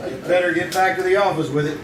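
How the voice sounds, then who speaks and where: A man playing a confident, wry, quick-witted crime photographer in a 1940s-style radio drama. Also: high-pitched, natural voice. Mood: brisk explaining. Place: live theater stage. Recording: roomy, distant stage recording with music.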